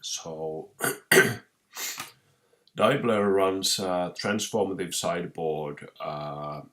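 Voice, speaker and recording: A young man with a Finnish accent who is sick with a cold, commentating his own card game match with many pauses, restarts and throat clearing. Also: low voice, a little hiss or hum. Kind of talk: casual gameplay commentary.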